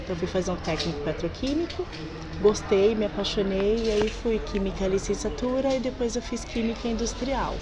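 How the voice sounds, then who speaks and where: A woman's voice: mid-range voice, brisk. A woman chemistry teacher talking earnestly about her path into teaching in a documentary interview. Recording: noisy background.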